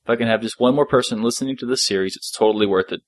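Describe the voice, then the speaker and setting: A man's voice: mid-range voice, racing, dry recording. A middle-aged man chatting casually as a podcast co-host, laid-back and appreciative, sometimes reading out listener messages.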